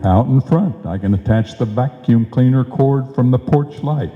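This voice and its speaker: An actor playing a blustering, sarcastic husband with emphatic, wisecracking delivery in an old-time radio comedy. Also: male voice, mid-range voice, normal speed, faint music behind, lightly acted. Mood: wry. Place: live stage performance in a hall. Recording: muffled live recording in echoey hall.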